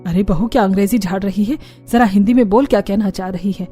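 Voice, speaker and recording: A woman's voice: medium pitch, fast, dark tone, soft background music, natural voice. A woman voicing a bossy older mother-in-law who relishes her food, in a cartoon story, speaking in commands.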